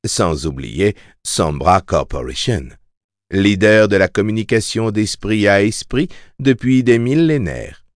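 A man's voice: lowish voice, expressive, unhurried, no echo, clean studio sound. A man voicing a polite female automated announcer in an audiobook, giving formal corporate patter. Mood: bland, courteous. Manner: formal, courteous announcement delivery.

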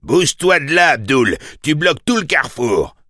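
A man's voice: on the high side, sing-song, normal speed, pushed and bright, no room sound, clean studio sound, voice pushed up. A man acting an impatient, rude motorist who barks orders. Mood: irritated. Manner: barking orders. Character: impatient, rude male motorist shouting from car window.

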